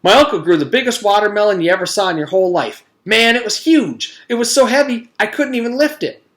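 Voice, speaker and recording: Man putting on an excitable high-pitched schoolboy. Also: fast talker. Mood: excited boasting. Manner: eager, emphatic, groaning for attention. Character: excitable, chatty, rambling schoolboy. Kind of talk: children's storybook read-aloud.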